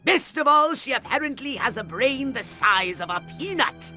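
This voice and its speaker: Sharp, scornful alien commander. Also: male voice, very high-pitched, lively intonation, normal speed, faint music behind, exaggerated voice. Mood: scornful, commanding. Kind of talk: video game cutscene acting.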